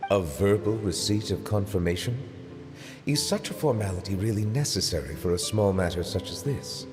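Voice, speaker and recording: Man playing a stately game judge, low voice, formal and precise, measured, dry humor. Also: lively intonation, music in the background, natural voice. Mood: composed. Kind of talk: video game voice acting.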